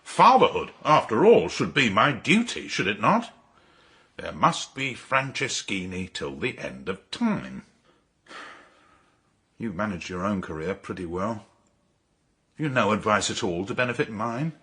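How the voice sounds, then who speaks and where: An actor playing an embittered, proud, middle-aged count fallen on hard times, indignant and declamatory, in a radio drama. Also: male voice, on the low side, big pitch swings, a little slow, pauses often, bright, forceful tone, husky, full character voice. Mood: petulant, eager.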